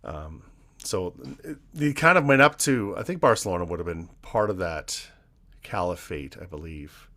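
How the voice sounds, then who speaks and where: A man's voice: deep voice, expressive, moderate pace, sharp tone. A man in his forties from Canada hosting a casual conversation with an old friend, talking in a rambling, hesitant way. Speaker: podcast host. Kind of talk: casual interview chat between old friends.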